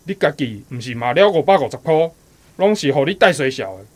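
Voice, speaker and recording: High-pitched village uncle. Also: male voice, big pitch swings, brisk, a little mellow. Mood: blaming. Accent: Taiwanese. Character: excitable village uncle trading in birds. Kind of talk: radio drama acting.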